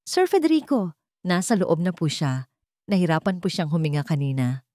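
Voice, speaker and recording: A woman's voice: lowish voice, very animated, normal speed, mellow, no room sound, studio quality. A woman voicing a worried young female friend in a story, tearful and politely deferential. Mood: tearful, anxious.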